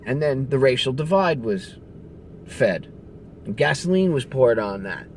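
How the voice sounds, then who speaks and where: Sarcastic man ranting with lively pitch. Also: a little slow, slight background noise. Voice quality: profane, sarcastic, emphatic. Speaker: political commentary host. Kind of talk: ranting commentary.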